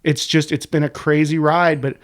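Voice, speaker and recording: Man, 40s, congested, a bit high-pitched. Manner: fast, profane, animated storyteller. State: congested, sniffling from a sinus infection. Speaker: guest, website co-creator. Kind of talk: casual podcast chat.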